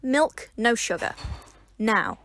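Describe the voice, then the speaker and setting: An actress playing a prim, easily flustered club organiser in an audio drama, speaking in a brisk, clipped, humourless way. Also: female voice, fairly high pitch, big pitch swings, gravelly, fairly bright tone, a little hiss or hum, exaggerated voice. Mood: stern, annoyed.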